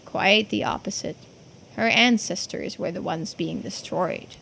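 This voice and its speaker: A woman's voice: on the low side, unhurried, vocal fry, fairly bright tone, slight background noise, heavily acted. A woman voicing a folksy, earnest islander who explains old secrets in video game dialogue.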